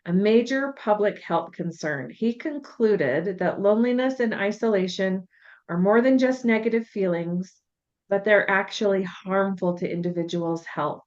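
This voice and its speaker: A woman podcast co-host with a measured, affirming manner, introducing topics and agreeing warmly with her partner. Her pitch is middling. The recording sounds a little dull and lo-fi. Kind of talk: reflective podcast conversation.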